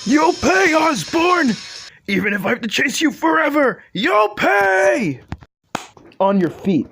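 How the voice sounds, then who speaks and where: A man voicing a vengeful, furious young superhero in an amateur motion comic, shouting melodramatically over music. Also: extremely high pitch, sing-song, slow pace, creaky, pitched above the performer's own voice, full character voice. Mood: vengeful fury. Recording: music behind the voice.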